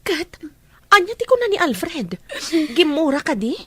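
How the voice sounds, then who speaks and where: Babaeng gumaganap bilang kaibigang matinis at buhay magsalita. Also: female voice, extremely high pitch, sing-song, a little slow, sharp tone, no echo, full character voice. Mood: shocked probing. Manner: probing, questioning delivery. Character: concerned, inquisitive female best friend, young adult. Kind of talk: Ilocano audio drama acting.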